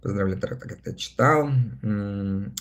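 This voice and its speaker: A man's voice: on the low side, normal speed, fairly bright tone. A man in his thirties chatting casually on a livestream, reading viewer comments aloud and answering them between laughs. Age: 30s. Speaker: live streamer reading viewer chat.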